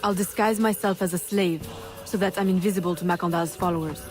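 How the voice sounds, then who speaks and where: An actress playing a determined, composed young assassin in a video game. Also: female voice, lowish voice, steady tone, fairly quick, a little mellow, faint music behind, natural voice. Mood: resolute. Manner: cool, businesslike, resolute. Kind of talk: dramatic video game acting.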